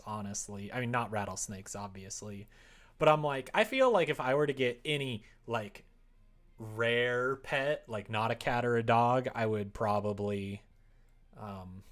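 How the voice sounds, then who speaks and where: A man with a mid-pitched voice hosts a casual livestream. He rambles in an easygoing way, hedging his thoughts and answering chat comments as he reads them out. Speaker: live streamer. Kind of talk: casual chat with stream viewers, reading out their comments.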